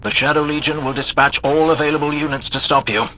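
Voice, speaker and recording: A man's voice: on the high side, steady tone, quick pace, background noise, natural voice. A man playing a dry, courteous, well-spoken military scout and marksman in a video game. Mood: wry, measured. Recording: music behind the voice.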